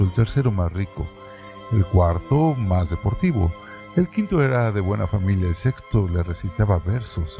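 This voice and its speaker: A man giving a measured, deliberate scripted reading of a literary text as narrator of a radio-theatre piece. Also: fairly low pitch, creaky, dark tone.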